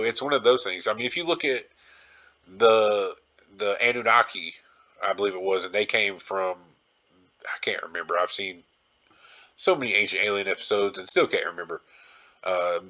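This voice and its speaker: Low, bright-voiced man podcasting casually. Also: lo-fi sound. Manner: rambling, musing, speculative. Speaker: podcast host. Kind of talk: casual solo podcast monologue.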